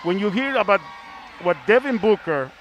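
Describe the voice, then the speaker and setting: Guy hosting a live sports talk show, pretty high voice, repeats himself a bit, faint noise behind. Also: animated, moderate pace, fairly bright tone, highs cut off, a faint crowd. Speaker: sports broadcast host.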